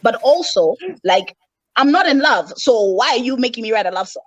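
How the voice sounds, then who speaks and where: A woman's voice: on the high side, expressive, normal speed, no echo, low-quality recording. A woman from Malawi, a rapper talking as a guest in a casual interview, candid and self-assured in long flowing answers.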